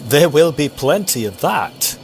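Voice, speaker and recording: An actor playing an obliging, deferential Victorian train conductor with formal, drawn-out courtesy, in a period audio drama. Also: male voice, fairly high pitch, sing-song, soft background music. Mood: courteous.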